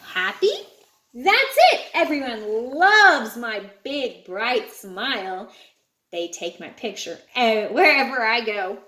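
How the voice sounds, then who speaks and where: A woman's voice: a little high, animated, slow pace, bright, forceful tone, heavily acted. A woman voicing a boastful, cheerful, playful shark from a children's storybook. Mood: gleeful bragging.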